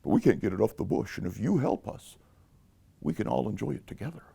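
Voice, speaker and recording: Storybook female bear male performer: friendly leader, lowered creaky voice, measured and inviting. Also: lively intonation, warm tone, exaggerated voice. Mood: calm, inviting.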